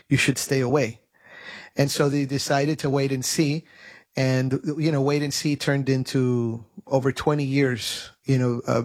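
A man talking through his family story in an earnest, halting way, with many restarts, as a guest on a radio interview. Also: mid-range voice. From United States. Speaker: radio program host. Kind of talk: conversational interview.

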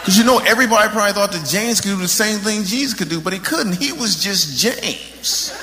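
A man's voice: high voice, brisk. Male stand-up comic in a reverberant hall. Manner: colloquial, mock-incredulous. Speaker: stand-up comedian. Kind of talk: stand-up comedy. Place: large venue, live performance. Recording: reverberant noisy live hall.

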